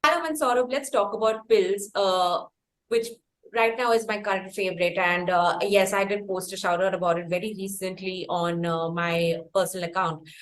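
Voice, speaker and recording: Female podcast host, Indian English accent, mid-pitched, effusive, wordy and halting in conversation. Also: fairly even intonation, a little hiss or hum. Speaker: podcast host interviewing musicians. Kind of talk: conversational interview.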